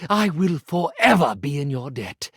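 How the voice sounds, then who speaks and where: A man's voice: fairly high pitch, sing-song, measured pace, a bit bright, husky, no echo, studio quality, heavily acted. An actor playing a theatrical, grandiose, angst-ridden male magician in a video game, with pompous, florid, melodramatic delivery. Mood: gracious, florid. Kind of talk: animated video game character acting.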